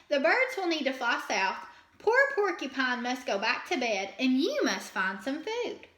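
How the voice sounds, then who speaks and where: Storybook young fox, male, played by a woman: panicky, breathless, high and swooping. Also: big pitch swings, measured pace, fairly bright tone, echoey room, exaggerated voice. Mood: urgent, panicky. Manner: breathless, urgent exclaiming.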